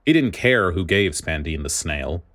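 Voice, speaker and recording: A male narrator reads scripted fiction aloud. His voice is deep and low, and he delivers the prose as storytelling. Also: animated, a little slow, a bit bright.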